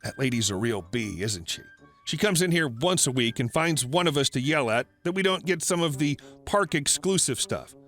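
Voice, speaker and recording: Man doing a soft-spoken young shop girl. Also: mid-range voice, expressive, moderate pace, faint music behind. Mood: hushed. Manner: hushed and gentle. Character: kind young female sales clerk, soft and conspiratorial.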